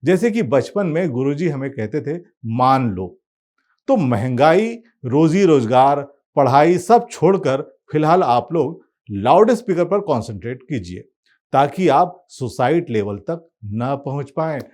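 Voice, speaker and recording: Male satirical monologist, higher-than-average voice, highly varied intonation, wry conversational storyteller. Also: sing-song, a little slow, darkish tone. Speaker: presenter.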